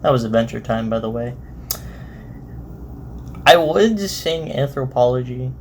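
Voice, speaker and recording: A man's voice: medium pitch, animated, measured pace, darkish tone, slight background noise. A man giving casual, off-the-cuff reaction commentary, rambling and repeating his words. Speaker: reaction host.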